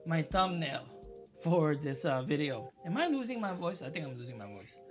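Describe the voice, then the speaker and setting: A hobby channel host giving casual review commentary in an easygoing way, often pausing to search for words with fillers. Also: mid-range voice, fairly bright tone.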